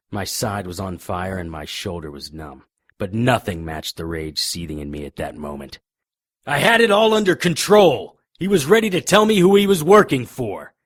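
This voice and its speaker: A man's voice: mid-range voice, breathy edge, no echo, studio quality. A man playing a tough, sardonic private investigator in a noir radio drama, both narrating and speaking in scenes. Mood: angry, sarcastic. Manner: hard-boiled, sardonic. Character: tough, defiant, wry male private investigator. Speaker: lead actor and narrator.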